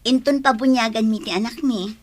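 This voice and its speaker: A woman acting a gentle, contented young wife and mother in an Ilocano radio drama. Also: a little high, fairly quick, no echo, natural voice. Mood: friendly.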